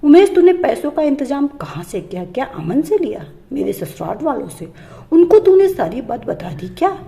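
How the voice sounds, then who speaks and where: A woman's voice: high-pitched, sing-song, moderate pace, gravelly, warm tone, heavily acted. A woman storyteller voicing a haughty, hot-tempered young rich wife who boasts and accuses. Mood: anxious.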